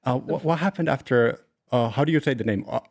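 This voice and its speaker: A man's voice: medium pitch, fast talker, vocal fry, darkish tone. A man hosting a podcast, opening with an intro and then asking short, encouraging questions in a casual interview. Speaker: podcast host.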